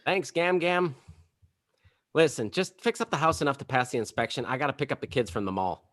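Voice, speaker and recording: A man's voice: medium pitch, a little fast, a bit bright, natural voice. A man playing a wisecracking suburban dad close to retirement, in a hammy comedy table read. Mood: wry.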